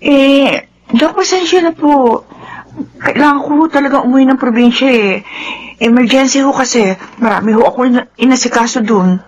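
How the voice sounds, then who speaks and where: Lady doing a nervous sorry secretary, pretty high voice, radio drama, bit of noise. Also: moderate pace, darkish tone, close to an everyday voice. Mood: apologetic, flustered. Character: meek, apologetic, flustered female secretary. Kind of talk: Filipino radio drama acting.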